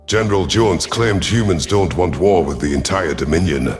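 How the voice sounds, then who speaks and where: A man voicing a cold, calculating alien predator commander in a sci-fi audio story, imperious and menacing, with a rough husky voice. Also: low-pitched, moderate pace, soft background music, close to an everyday voice. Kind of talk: dramatic first-person sci-fi audio story.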